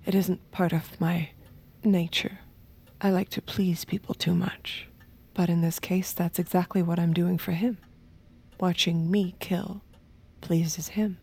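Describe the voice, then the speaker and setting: An actress playing a seductive, submissive killer who confides in the listener in a hushed, intimate manner for an audio drama. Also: female voice, on the low side, little pitch movement, unhurried, gravelly, slightly rough, exaggerated voice. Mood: calm, confiding. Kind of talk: intimate first-person narration and acted dialogue in audio drama.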